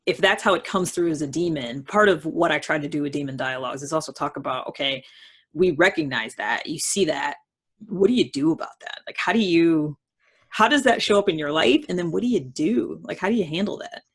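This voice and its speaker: A woman with a husky voice speaking as the host of a conversational one-on-one discussion. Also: deep voice, fairly quick. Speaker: host of a personality-type dialogue series. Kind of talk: conversational interview.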